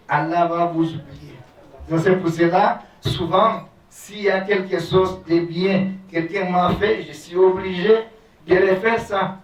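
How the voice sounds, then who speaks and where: Preacher man, distant and echoey. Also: high voice. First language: Arabic. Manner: recites Arabic fast, then explains slowly in halting French. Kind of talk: sermon. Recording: roomy, distant, dull sound.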